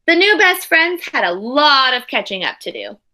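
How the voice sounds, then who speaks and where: A woman doing an expressive, dramatic children's read-aloud, pausing with asides to explain things simply like a teacher. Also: high-pitched. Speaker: teacher reading a picture book to young pupils.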